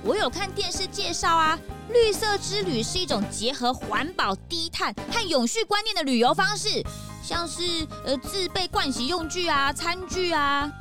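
Excitable high-pitched child detective sidekick. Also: female voice, normal speed, music in the background. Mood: explaining. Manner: exclamatory, eager. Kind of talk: children's audio drama acting.

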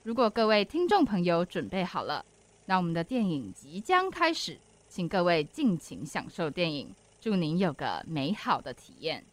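A woman's voice: lowish voice, sing-song, moderate pace, a little hiss or hum. A woman reading a scripted, courteous cinema announcement in a formal manner.

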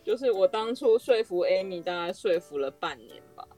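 A woman's voice: medium pitch, expressive, normal speed, over music. A woman hosting a casual podcast chat in an enthusiastic, self-deprecating way. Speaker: podcast host.

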